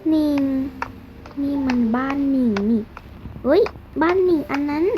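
A woman's voice: sits high, measured pace, low-quality recording. A female speaker play-acting in an improvised schoolgirl drama, exclaiming and stretching out her words. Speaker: player in a homemade game skit. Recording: very loud music over the voice. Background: very loud music.

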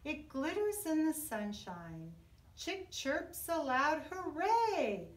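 Animated, high-voiced woman reading to children. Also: slow pace. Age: middle-aged. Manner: expressive, sing-song storytelling for young children. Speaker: kindergarten teacher. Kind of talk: read-aloud of a children's picture book. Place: indoor classroom. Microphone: camera a few feet away. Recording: roomy echo, distant microphone.

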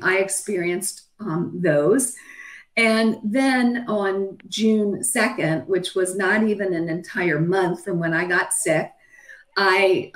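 A middle-aged woman with a hoarse voice giving a matter-of-fact slide talk about her own illness over a video call, with frequent ums. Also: medium pitch, low-quality recording. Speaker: physician sharing her own illness story. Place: home room, video call. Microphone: webcam on a video call.

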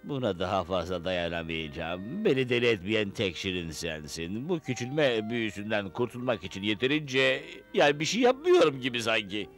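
A man's voice: on the low side, sing-song, normal speed, hardly any pauses, a bit bright. A man voicing a grumpy, scheming little wizard in a cartoon dub, whining and sputtering with theatrical false sweetness. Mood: miserable. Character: grumpy, scheming male wizard shrunk small, feigning niceness. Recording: music behind the voice.

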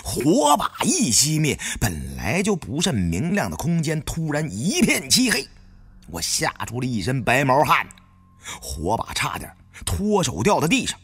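A man's voice: a little high, huge pitch swings, unhurried, a bit bright, slightly rough. A man narrating an audiobook as dramatic first-person storytelling.

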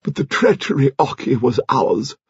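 A man voicing an imperious, frank, stout elderly English noblewoman, speaking in a grand, emphatic, deliberate way for an audiobook. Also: mid-range voice, very animated, slightly rough, dry recording, highs cut off, pitched above the performer's own voice, exaggerated voice. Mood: anguished.

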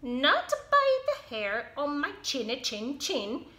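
A woman's voice: sits high, wildly swooping pitch, takes it slowly, bright, forceful tone, roomy sound, full character voice. A woman doing squealing little pigs. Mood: cheeky. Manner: squealing, sing-song.

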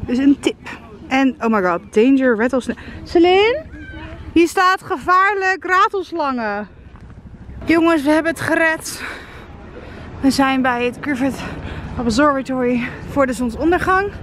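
A young woman from the Netherlands chatting casually and playfully for a travel vlog, excitable and blunt. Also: sits high, a little slow. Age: young adult. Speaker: vlogger. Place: moving car and outdoor streets and viewpoints. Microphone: handheld camera at arm's length. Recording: music over handheld vlog audio. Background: music, car and street noise.

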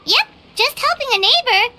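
A woman's voice: moderate pace, heavily acted. A woman voicing a small, bubbly female fairy companion in video game dialogue. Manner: bubbly, high and lively.

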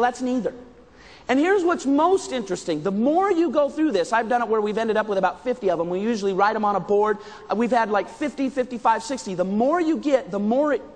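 American guy doing a motivational talk on stage, really high raised voice, emphatic, big echoey hall. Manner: emphatic, projecting, repeats audience answers. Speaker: motivational keynote speaker. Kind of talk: interactive motivational speech to an audience. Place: stage in a large indoor conference hall. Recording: reverberant large hall.

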